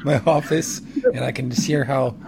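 A man co-hosting a podcast in casual chat, his voice hoarse and gravelly from a cold, talking from his home office. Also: medium pitch, fairly even intonation, normal speed, loud music behind the voice, low-quality recording. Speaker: podcast co-host.

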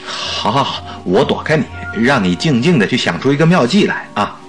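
Radio drama sidekick: talkative doctor, eager, expressive, swooping intonation, faint music underneath. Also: male voice, mid-range voice, normal speed. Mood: mild. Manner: theatrical, exclaiming, expressive. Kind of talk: Mandarin radio drama acting.

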